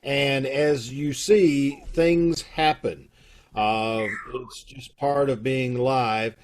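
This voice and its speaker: A man at an ordinary mid pitch talks over a video call, giving announcements for his theater troupe. His manner is plainspoken and folksy, laying things out step by step. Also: fairly even intonation, very slow. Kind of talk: casual announcements.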